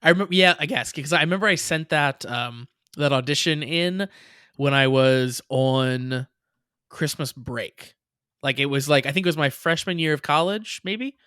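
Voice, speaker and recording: A man's voice: fairly high pitch, moderate pace, sharp tone, smooth, no echo, studio quality. A young man co-hosting a podcast, chatting in a rambling way with many restarts and frequent laughs. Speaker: podcast co-host. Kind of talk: casual chat.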